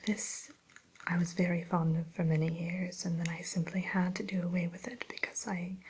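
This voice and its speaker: Woman playing a breathy, whispering evil queen shopkeeper. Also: lowish voice, darkish tone, poor audio. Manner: hesitant, coy, genteel salesperson phrasing. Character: refined, sly evil queen running an enchanted mirror shop. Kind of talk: whispered ASMR roleplay.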